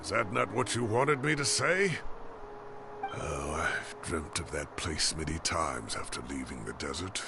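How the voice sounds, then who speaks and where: An actor playing a wistful, fond old professor in a video game, with a gravelly voice and theatrical delivery. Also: male voice, low-pitched, expressive, unhurried, background noise, heavily acted. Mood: wistful, remorseful. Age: older.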